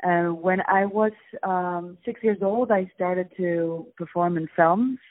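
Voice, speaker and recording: Woman from Poland on a tinny phone line. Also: lowish voice. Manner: thoughtful, many ums and pauses. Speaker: guest actress. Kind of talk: phone interview. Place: on a phone call. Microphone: telephone.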